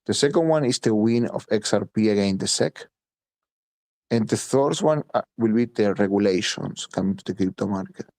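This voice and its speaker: A man's voice: fairly low pitch, expressive, moderate pace, a little mellow, no echo. A man from Italy speaking English as a second language, blunt and emphatic, swearing and repeating points in a casual voice chat. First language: Italian. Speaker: voice chat participant.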